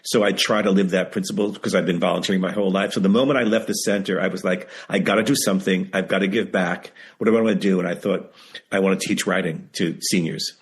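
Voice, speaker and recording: A man of seventy speaks as a guest in a candid interview. His voice sits fairly low and has a husky grain. He is emphatic, often repeating a phrase to stress it. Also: steady tone, quick pace. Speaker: guest, author and writing teacher. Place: video call.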